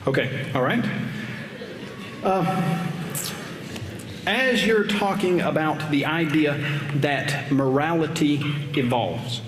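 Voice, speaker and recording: A man in his thirties, debating from a podium microphone on an auditorium stage and asking measured, probing questions. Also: on the high side. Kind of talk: formal debate cross-examination. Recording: roomy hall reverb, faint noise.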